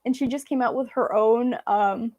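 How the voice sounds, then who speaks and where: A woman's voice: fairly high pitch, moderate pace, darkish tone. A woman from Belarus, a native Russian speaker, chatting casually and informally with viewers as she hosts a live Q&A. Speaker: vlog host.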